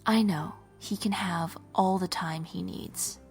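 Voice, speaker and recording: A woman playing a devout, determined rebel leader in a fantasy audio drama, soft and breathy, gentle with friends. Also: fairly low pitch, takes it slowly, soft background music, full character voice. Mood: gentle, consoling.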